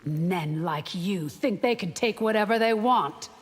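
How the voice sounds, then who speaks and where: An actress playing a fiercely loyal, defiant warrior guard in a video game, with a husky voice. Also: female voice, medium pitch, unhurried, lightly acted. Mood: resolute. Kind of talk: video game fight-intro dialogue. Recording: long hall-like reverb.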